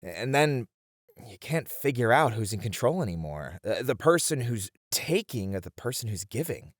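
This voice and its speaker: A man's voice: medium pitch, moderate pace, no room sound, clean studio sound. A man playing a thoughtful game developer, candid and casual, uneasy yet eager, in an ASMR roleplay. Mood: uneasy, reflective. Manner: casual, hesitant, expressive.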